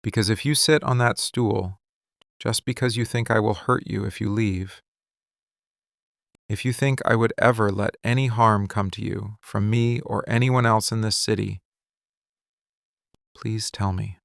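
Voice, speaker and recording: A man playing a possessive, menacing mafia boss in love, in an intimate boyfriend ASMR monologue, speaking in controlled, deliberate, intense short statements close to the mic. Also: fairly low pitch, steady tone, vocal fry, a bit bright, no echo. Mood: vulnerable, pleading. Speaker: voice actor. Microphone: close studio mic, dry.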